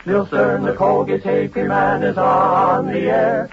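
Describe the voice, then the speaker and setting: A man's voice: high voice, completely flat delivery, takes it slowly. A man singing a 1940s radio commercial jingle. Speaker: jingle singer. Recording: muffled vintage radio, loud music.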